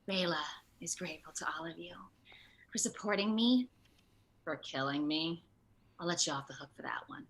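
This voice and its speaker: A woman voicing a menacing, seductive female video game villain who teases and threatens slowly and breathily. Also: lowish voice, lively intonation, a bit bright, pitched below the performer's own voice. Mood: seductive, whispery.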